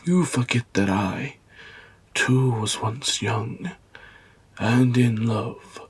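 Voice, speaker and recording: A man playing a stern, wise, ailing old Japanese father in an audio drama, speaking slowly and gravely with a weathered, husky voice. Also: mid-range voice, full character voice. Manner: slow, grave, measured delivery. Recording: music bed under the voice. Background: background music.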